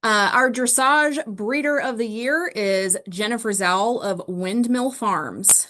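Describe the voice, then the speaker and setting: A woman's voice: a little high, slow pace, bright, forceful tone. A woman presenting an online awards ceremony, steadily listing winners and their breeding lines with frequent ums, speaking over a video call.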